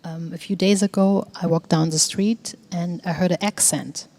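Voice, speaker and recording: A woman in a reflective group conversation, speaking thoughtfully with frequent pauses and a voice that often trails off softly. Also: fairly low pitch, fairly even intonation. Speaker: discussion participant. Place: exhibition hall in a museum.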